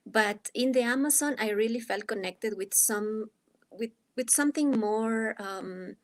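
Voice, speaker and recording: A woman's voice: a little high, measured pace. A woman from Ecuador with an Ecuadorian Spanish accent, answering interview questions in a reflective, hesitant way as she searches for words. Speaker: guest, dance artist and anthropologist.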